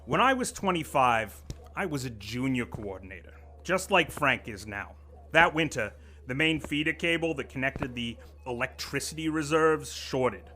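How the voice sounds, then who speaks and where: A man's voice: mid-range voice, expressive, moderate pace, bright, forceful tone, slight background noise. An actor playing a pompous, condescending office vice president who lectures his staff. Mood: lecturing. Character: pompous middle-aged male corporate boss, menacing and sly. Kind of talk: audio drama acting.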